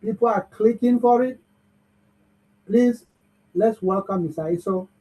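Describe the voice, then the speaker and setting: A man's voice: sits high, a little slow, warm tone. A man hosting an online bootcamp, upbeat and cheering the audience on over a video call. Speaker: bootcamp host. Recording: muffled video-call audio.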